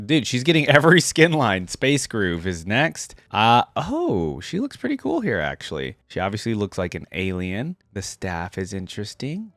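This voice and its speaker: Animated man giving casual reaction commentary. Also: fairly low pitch, dry recording, studio quality. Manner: informal, thinking aloud with mild enthusiasm. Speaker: reaction video host.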